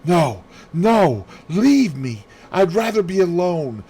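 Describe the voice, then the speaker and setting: A man's voice: high-pitched, sing-song, slow, warm tone, a little hiss or hum, voice pushed up, full character voice. A man voicing a frightened, distraught woman in a mystery audiobook, pleading as he goes.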